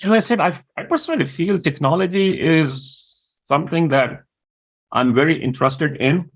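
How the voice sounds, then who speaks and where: Man, Urdu speaker, fry in the voice, hesitant delivery, interview guest. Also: a little high, animated. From Pakistan. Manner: halting, many pauses and fillers. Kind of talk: interview conversation.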